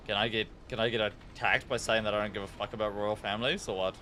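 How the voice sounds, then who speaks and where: A man's voice: lowish voice, steady tone, quick pace, sharp tone, slight background noise. A man with a New Zealand accent chatting casually and informally on a gaming livestream, rambling and swearing freely. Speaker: live game streamer.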